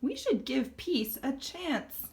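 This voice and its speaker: A woman's voice: high-pitched, sing-song, slow pace, gravelly, a little mellow, voice pushed up, heavily acted. A woman voicing a proud, dramatic young male iguana in a storybook, with an emphatic manner. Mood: eager, insistent.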